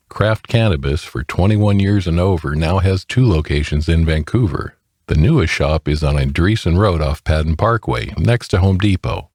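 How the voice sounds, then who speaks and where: Casting: deep-voiced man for radio sponsor spots, scripted, close and dry. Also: darkish tone, slightly rough, no room sound. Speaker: sponsor announcer. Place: dry studio, close mic.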